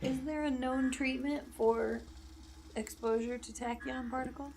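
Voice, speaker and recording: A woman's voice: sits high, fairly even intonation, measured pace. A woman chatting casually as a player in a tabletop role-playing game. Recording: noticeable background noise and clicks.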